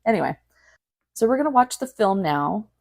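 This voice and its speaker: A woman's voice: medium pitch, expressive, moderate pace, gravelly, darkish tone. A woman co-hosting a podcast in a casual discussion. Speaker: podcast co-host.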